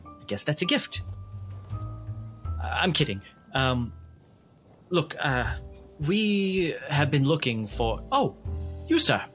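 A man voicing a flustered, overly polite ship's steward in a tabletop roleplay, halting, formal and apologetic. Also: a little high, very animated, a bit bright, soft background music, full character voice. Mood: apologetic, officious.

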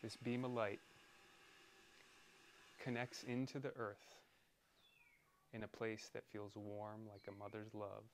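A man leading a guided meditation in a soothing, deliberate manner, pausing between short phrases. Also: on the low side, flat delivery, a little hiss or hum.

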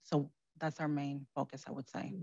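A woman speaking on a panel, soft-spoken and hesitant with many pauses. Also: low-pitched, little pitch movement, dull audio, background noise. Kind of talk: panel talk. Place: conference room.

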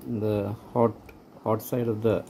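A man giving an unscripted how-to narration while working at a table indoors, speaking haltingly in trailing phrases. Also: lowish voice, flat delivery, mellow. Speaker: hobbyist presenter. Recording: noticeable background noise.

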